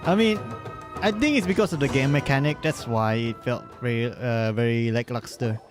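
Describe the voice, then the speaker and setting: A male streamer chats casually over gameplay in a mid-pitched voice. He rambles in slangy asides, with faint game music behind him. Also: normal speed. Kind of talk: casual live gameplay commentary.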